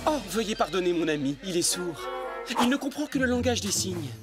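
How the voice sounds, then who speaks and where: A man's voice: sits high, very animated, fairly quick. An actor playing a carefree, foppish young nobleman in a cartoon, polite and affected in his speech. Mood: breezy, polite. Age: young adult. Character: cheerful, foppish young nobleman home from studies. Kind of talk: animated series dubbing. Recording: loud music behind the voice.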